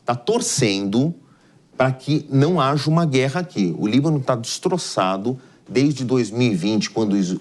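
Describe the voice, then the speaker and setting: A man's voice: fairly low pitch, a little mellow. Brazilian man explaining the news, didactic and emphatic. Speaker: news analyst. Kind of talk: live explanatory news commentary.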